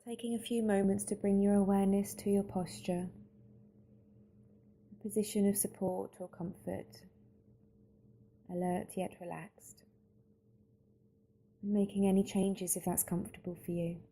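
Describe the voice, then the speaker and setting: A woman leads a slow guided meditation in a very soft, dark, mellow voice. Her delivery is calm and unhurried, staying very even in tone, with pauses between gentle instructions. A light background noise sits behind her. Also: medium pitch.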